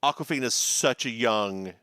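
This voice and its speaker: Male podcast co-host, bright tone, campy recap chatter, dry close sound. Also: mid-range voice, normal speed, clean tone, no room sound. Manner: animated, campy, mimics characters. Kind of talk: casual chat recapping a TV episode.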